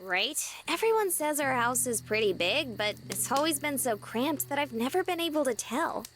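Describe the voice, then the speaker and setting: A woman's voice: high voice, animated, moderate pace, a bit bright, a little hiss or hum. A woman playing a cheerful, open young woman from a big family in visual novel game dialogue. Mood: candid, chatty.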